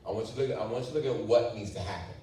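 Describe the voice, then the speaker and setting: Low-voiced pastor in an echoey hall. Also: male voice, rapid-fire, mellow, recorded from a distance. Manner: casual, repeats questions to the crowd. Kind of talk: sermon talk with the congregation. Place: church hall. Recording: echoey church hall, mic from afar.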